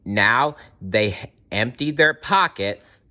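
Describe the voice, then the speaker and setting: A man's voice: fairly low pitch, slow. A man reading a fairy tale aloud expressively, playfully adding his own reactions. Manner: animated, playful, reacts to the story.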